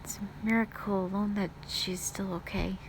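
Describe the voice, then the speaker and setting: A woman's voice: medium pitch, level tone, normal speed, noisy background, close to an everyday voice. A woman playing a fragile, remorseful young hospital patient in an audio roleplay, speaking hesitantly and apologetically. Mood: subdued, remorseful. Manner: hesitant, apologetic, sighing between short phrases. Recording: piano music behind the voice.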